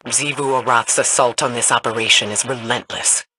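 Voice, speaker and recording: An actress playing a composed, scholarly female commander in a video game, measured, articulate and grave. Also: very deep voice, steady tone, pushed and bright, a little hiss or hum. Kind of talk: scripted video game dialogue.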